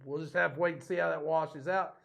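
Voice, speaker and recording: A man hosting a podcast, ranting bluntly in a rambling, casual way, his voice a little hoarse. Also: fairly high pitch, steady tone, brisk, a bit bright. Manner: ranting, blunt, self-deprecating. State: slightly hoarse. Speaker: podcast host.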